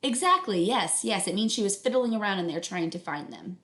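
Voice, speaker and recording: A woman reading a story aloud like a teacher, pausing to explain and check understanding with young listeners. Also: medium pitch, lively intonation, a bit bright. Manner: instructional, checks understanding, affirms listeners' answers. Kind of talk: read-aloud narration with questions to young listeners. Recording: echoey room sound.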